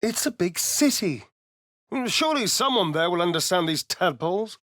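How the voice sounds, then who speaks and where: A man's voice: high voice, sing-song, normal speed, a bit bright, no echo, studio quality. An actor playing a sly, vain, sardonic male vampire rogue in a video game, with an arch, drawling, theatrical delivery. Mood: dry, bored. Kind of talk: theatrical video game character lines and narration.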